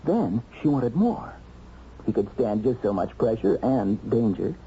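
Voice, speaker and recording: An American actor playing a dogged, wry investigator in a radio mystery drama, probing and emphatic. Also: male voice, fairly low pitch, very animated, measured pace, mellow. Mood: confident, wry. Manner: probing, hesitant lead-ins, emphatic deductions. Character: dogged, wry male investigator cracking a murder case. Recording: dull, noisy old radio recording.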